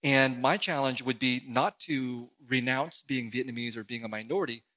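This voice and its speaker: A man, an author from the United States, explaining his views in an animated, emphatic way during an interview. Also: medium pitch, moderate pace. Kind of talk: interview conversation.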